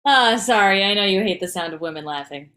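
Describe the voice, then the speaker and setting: A woman playing a no-nonsense wife and mother with casual, deadpan delivery in a comedy table read. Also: medium pitch, brisk. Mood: defensive, dry.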